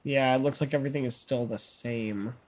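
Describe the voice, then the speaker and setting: A man's voice: mid-range voice, steady tone, a little fast. A young man with a mid-range voice reads game text aloud in a loose, offhand way. He skims through the lines and slips in jokes as he goes. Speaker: co-host of a let's play channel. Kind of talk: casual chat and reading game text.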